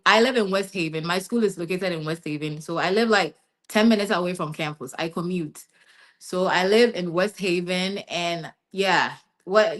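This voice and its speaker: A young adult woman from Ghana, a master's student answering interview questions in a relaxed, rambling way and often tagging on "yeah" and "basically.". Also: on the low side. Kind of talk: casual interview chat.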